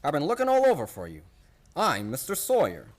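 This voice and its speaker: An actor playing a fussy, pompous, cowardly store psychologist in a radio play, officious and easily flustered. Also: male voice, a little high, wildly swooping pitch, brisk. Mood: ingratiating, flustered. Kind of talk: stage-style radio play acting.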